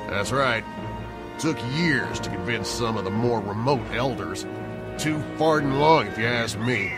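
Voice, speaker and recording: An actor playing a gruff, bitter older villager telling stories in a folksy drawl in a sci-fi audio drama. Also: male voice, medium pitch, a little slow, music in the background, close to an everyday voice. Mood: grim, bitter. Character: gruff, garrulous older male alien villager, bitter and hard.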